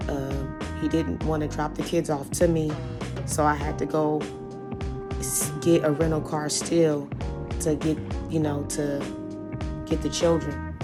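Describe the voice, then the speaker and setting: A woman with a voice hoarse from a cold, chatting casually to her viewers and telling a long personal story. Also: sits low. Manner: chatty, rambling, addresses viewers as y'all. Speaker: vlogger.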